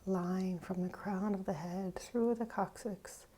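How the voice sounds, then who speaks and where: Slow, mellow-voiced woman guiding a meditation. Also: medium pitch, fairly even intonation. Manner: gentle, pausing, often trailing into a breathy near-whisper. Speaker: meditation teacher. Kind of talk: guided meditation, unhurried and instructive.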